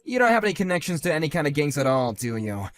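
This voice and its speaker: A man playing a calm, dry-witted grown man. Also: a little high, quick pace, soft background music. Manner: level, dry and cutting. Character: calm, sardonic grown man confronting an old bully. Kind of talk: one-man voiced drama.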